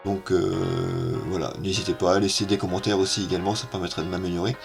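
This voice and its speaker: A man walking through a software tutorial at his computer, hesitant and full of filler sounds, on an amateur setup. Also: sits low, animated, fast, gravelly, soft background music. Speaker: tech YouTuber. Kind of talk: casual screen-recorded software tutorial.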